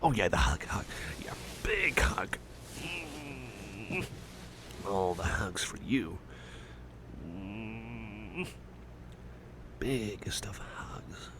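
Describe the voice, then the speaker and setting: A man playing a shy, caring, anxious friend in an intimate ASMR roleplay, speaking softly and hesitantly with stammers and gentle, murmured rambling. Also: lowish voice, expressive, extremely slow, long pauses, background noise. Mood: shy, affectionate.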